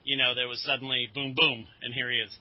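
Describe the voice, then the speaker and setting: A man co-hosting a podcast in casual chat, with a halting, hedging delivery. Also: mid-range voice, level tone, no room sound. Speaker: podcast co-host. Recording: dull lo-fi podcast audio.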